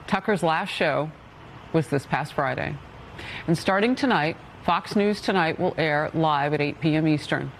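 A woman news anchor reading a formal, measured announcement on air. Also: deep voice, slight background noise, rough recording.

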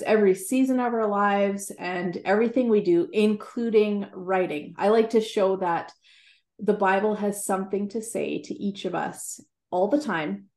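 A woman's voice: medium pitch, creaky, a little mellow, echoey room. A woman in her forties with a Canadian accent, a guest writer and Bible teacher talking earnestly in an interview and laughing easily. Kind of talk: interview conversation.

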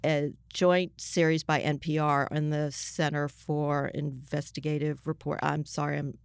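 An older American woman, a journalist being interviewed, explaining things step by step with asides in a measured way. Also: low-pitched, little pitch movement, gravelly, clear, resonant tone, dry recording, clean studio sound.

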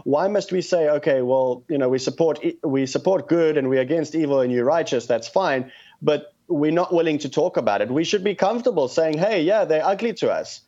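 A man's voice: a little high, expressive, fairly quick, dark tone. Earnest South African man on a muffled line. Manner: earnest, impassioned. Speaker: guest. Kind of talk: phone-in interview.

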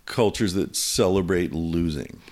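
A man from the United States with a notably low, deep voice. He muses aloud in a rambling, reflective way and swears casually. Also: measured pace, a little mellow, slight background noise. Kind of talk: casual conversation.

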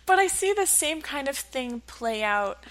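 A woman's voice: high-pitched, big pitch swings, measured pace, a bit bright. A woman speaking as an expert guest in an interview, explaining ideas conversationally through anecdotes. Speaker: interview guest, behavioral science researcher.

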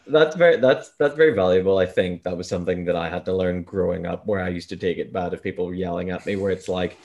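A man's voice: low-pitched, quick pace, darkish tone, dull audio. A man co-hosting a sports podcast, chatting informally and giving his opinions. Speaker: podcast host, wheelchair basketball player. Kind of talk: casual chat.

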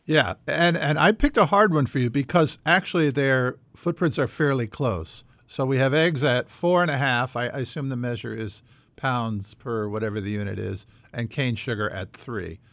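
A man's voice: mid-range voice, normal speed, a bit bright. A man hosting a conversational quiz segment on a podcast. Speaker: podcast co-host running a quiz.

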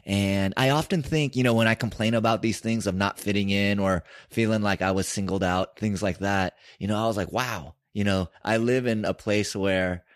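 A man's voice: lowish voice, steady tone, normal speed, hardly any pauses, no room sound, studio quality. A man from San Francisco hosting a casual interview, asking curious, conversational questions. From San Francisco, United States.

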